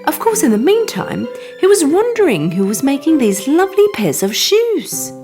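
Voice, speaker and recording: A woman reading a scripted bedtime story for children in an expressive, animated storytelling manner. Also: sits high, normal speed. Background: music.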